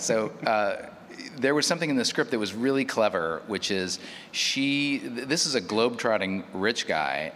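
A man's voice: lowish voice, fairly bright tone. A man speaking casually as a panelist, telling an anecdote in a conversational way. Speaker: panelist, audio drama maker. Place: large reverberant hall at a live panel. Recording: echoey hall, background noise.

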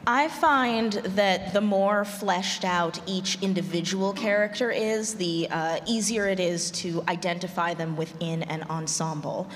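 A woman's voice: lowish voice, measured pace, hardly any pauses. A woman explaining her writing craft as a panelist at a conference. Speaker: panelist, audio drama writer. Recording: reverberant conference hall, noisy.

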